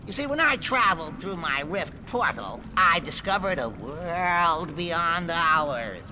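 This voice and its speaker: A man's voice: a little high, big pitch swings, takes it slowly, background noise. An actor playing a nerdy, eccentric male scientist in a video game cutscene, rambling with sudden squawks. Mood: lecturing. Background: game music.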